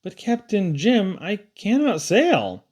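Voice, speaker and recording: A man voicing a meek sailor in a storybook poem, pleading slowly and hesitantly with his captain. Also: high voice, wildly swooping pitch, a little mellow, voice pushed up, full character voice.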